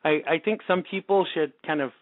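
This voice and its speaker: A man's voice: fairly high pitch, a little fast, dry recording. A male podcast host in a casual interview chat, talkative and self-deprecating, laughing often. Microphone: call-in radio show line. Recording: tinny phone-line sound.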